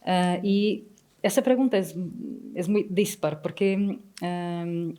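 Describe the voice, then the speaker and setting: Mellow-voiced Portuguese woman on a panel. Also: fairly low pitch. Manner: halting, searching for words in a second language. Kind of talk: unscripted panel discussion.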